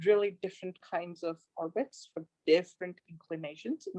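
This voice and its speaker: A woman's voice: lowish voice, darkish tone, no echo, highs cut off. Woman on a video call, keen and chatty, lots of ums, dry sound. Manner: enthusiastic, explanatory, with fillers. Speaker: event participant. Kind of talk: casual virtual panel remarks.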